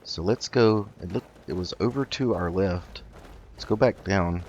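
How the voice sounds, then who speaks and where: A man doing casual game commentary, thinking aloud in an unhurried, musing way as he roleplays. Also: low-pitched, darkish tone, dull audio, slight background noise. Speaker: gaming host.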